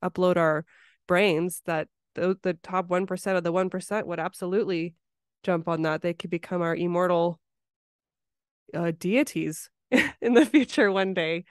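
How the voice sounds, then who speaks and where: Smooth-voiced woman hosting a casual podcast. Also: lowish voice, moderate pace, no echo, clean studio sound. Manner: informal, conversational. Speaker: podcast host. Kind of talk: casual interview chat.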